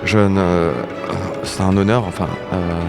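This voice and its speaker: A man's voice: deep voice, fairly even intonation, unhurried, long reverb tail, music in the background. A man playing a determined, earnest insect war veteran in an audio drama. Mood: nervous, deferential.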